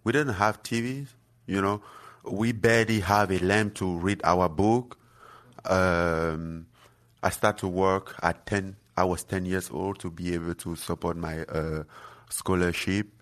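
Deep-voiced man from Cameroon, earnest. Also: steady tone, fairly bright tone. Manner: halting, many fillers and restarts, earnest. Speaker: guest fighter. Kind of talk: casual interview chat.

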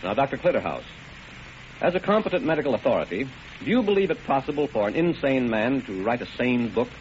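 Formal, measured judge in noisy old radio male actor. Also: mid-range voice, very animated, natural voice. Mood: probing, firm. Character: patient, formal male trial judge, dryly bewildered. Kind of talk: 1940s radio drama acting. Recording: noisy, muffled vintage radio broadcast.

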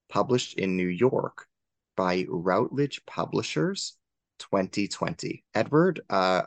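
A man with a very low, gravelly voice hosts an interview podcast. He speaks in a formal, courteous and effusive way. The sound is dry and close. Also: dry recording. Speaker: podcast host.

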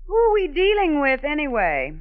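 An American actress playing a cool, sly femme fatale crook in a 1940s radio crime drama. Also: female voice, sits high, wildly swooping pitch, normal speed, no room sound. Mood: coy, probing. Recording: muffled vintage radio recording.

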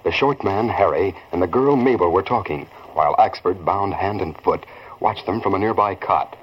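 A man's voice: sits low, moderate pace, recorded from a distance, highs cut off, lightly acted. A man narrating an old-time radio drama in a scripted, scene-setting style. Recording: noisy old radio recording.

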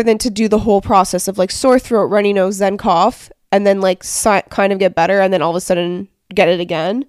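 A woman's voice: medium pitch, clean tone, no echo. A young woman with a stuffy, congested voice chats loosely on a podcast, stringing thoughts together with lots of fillers. The sound is close and dry, with no room around it. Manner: chatty, rambling with many "like" fillers. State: congested from a cold. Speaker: podcast co-host. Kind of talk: casual chat.

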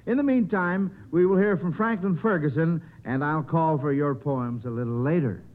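A man's voice: fairly high pitch, normal speed. A man hosting a 1950s radio panel show, talking in a chatty, folksy way with children. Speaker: quiz show host. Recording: muffled vintage 1950s radio recording.

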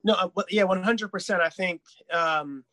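A man in his early thirties talks as a podcast guest, animated and quick to laugh as he tells a story. His voice sits high and sounds bright, and the recording is close and dry. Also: no room sound. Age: 30s. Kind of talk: casual podcast chat.

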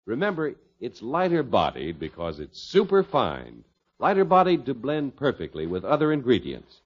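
A man's voice: mid-range voice, wildly swooping pitch, normal speed. An American man announcing and reading scripted ads in an old-time radio style. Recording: muffled vintage radio, faint music.

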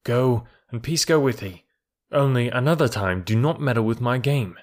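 A man's voice: medium pitch, moderate pace, lightly acted. Guy reading as a proud wolf boy from a storybook, sure of himself, scornful, old-fashioned talk. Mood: calm, defiant. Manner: assured, archaic wording. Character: proud, scornful wild herdsboy raised by wolves.

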